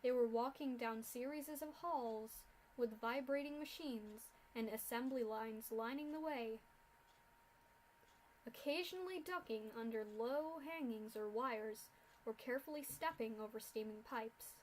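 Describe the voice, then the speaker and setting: A woman reading a fanfic aloud, narrating in an expressive storytelling way. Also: on the high side, measured pace, a little hiss or hum, poor audio.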